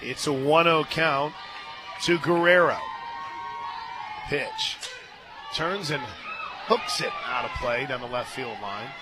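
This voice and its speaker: A man doing live radio play-by-play commentary of a softball game as the announcer. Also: a little high, huge pitch swings, slow, long pauses. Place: outdoor softball stadium radio booth. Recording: stadium crowd noise and music behind. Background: crowd and music.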